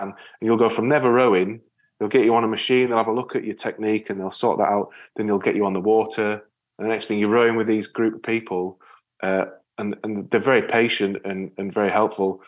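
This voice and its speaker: A man from Yorkshire with a northern English accent, talking casually as an interview guest in a rambling, halting way with repeated words. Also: on the low side. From Yorkshire, England. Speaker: interview guest, ocean rower and spearfisher. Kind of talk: casual conversational storytelling.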